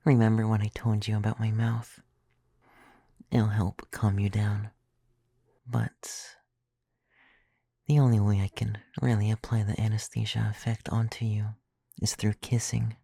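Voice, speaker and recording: Guy doing a flirty vampire femboy asmr, mellow gravelly soft voice, whispery, super dry and close. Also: on the low side, no echo, exaggerated voice. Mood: tender, seductive. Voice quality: soft, breathy, often dropping to a whisper. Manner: intimate, teasing, reassuring. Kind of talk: ASMR roleplay. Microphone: close microphone, dry.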